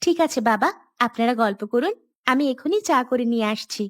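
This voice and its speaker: A woman voicing a young, dutiful and brisk daughter-in-law in a village cartoon. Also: high-pitched, lively intonation, no room sound, studio quality. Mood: eager, polite.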